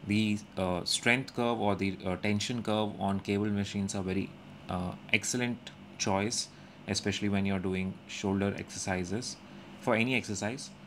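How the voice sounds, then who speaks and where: A young man calmly narrating his gym workout as a voice-over, explaining each step with frequent pauses. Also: fairly low pitch, slight background noise. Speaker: fitness vlogger. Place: indoor gym.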